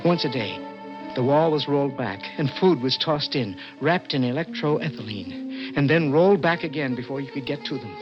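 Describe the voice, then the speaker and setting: A man's voice: medium pitch, natural voice. A man playing a desperate young imprisoned poet in earnest, dramatic 1960s radio suspense style. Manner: intense, earnest, dramatic, halting when startled. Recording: dull old radio recording, prominent music.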